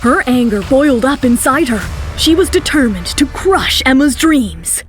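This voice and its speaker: Female narrator for audio drama, high register, dramatic scripted read, light music bed. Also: lively intonation, moderate pace.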